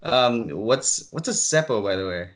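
A man's voice: mid-range voice, animated, a little slow, a bit bright. A man hosting a casual podcast, explaining things in a rambling, exasperated way. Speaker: podcast host.

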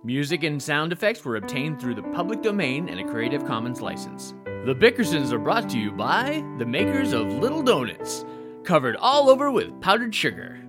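A man's voice: a little high, wildly swooping pitch, moderate pace. A man reading scripted credits as an old-time radio announcer. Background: guitar music.

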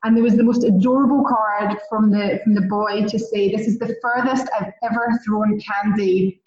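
A woman's voice: medium pitch, steady tone, moderate pace, a little mellow. A woman with a Scottish accent, telling stories with enthusiasm as a podcast guest. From Scotland. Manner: chatty, enthusiastic storytelling. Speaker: guest, relocation concierge. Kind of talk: podcast interview. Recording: roomy, distant, muffled.

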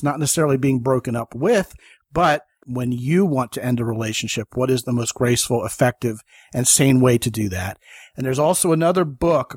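A man's voice: mid-range voice, dry recording, studio quality. An American man hosting a podcast, talking in a chatty, enthusiastic way. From United States (Maryland). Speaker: podcast host. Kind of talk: casual conversation and show sign-off.

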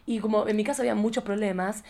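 A woman with an Argentine accent hosting a casual conversational podcast, with an expressive, theatrical way of putting things. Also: medium pitch, racing, vocal fry. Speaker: podcast host.

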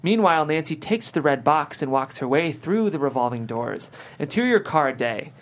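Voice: medium pitch, expressive, normal speed. A narrator reading the scene headings and action lines of a screenplay as scripted narration. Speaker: narrator reading stage directions.

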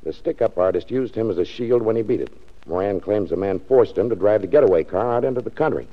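An actor playing a brisk, skeptical, emphatic district attorney in a 1940s radio drama. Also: male voice, fairly low pitch, close to an everyday voice. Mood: matter-of-fact. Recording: muffled 1940s radio recording.

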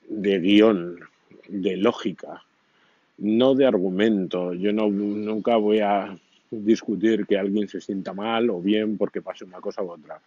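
Deep, slow, mellow-voiced man rambling. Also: breathy edge, no echo. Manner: rambling, many fillers and restarts. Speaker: podcast host. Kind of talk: casual spoken reflection. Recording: dull lo-fi sound.

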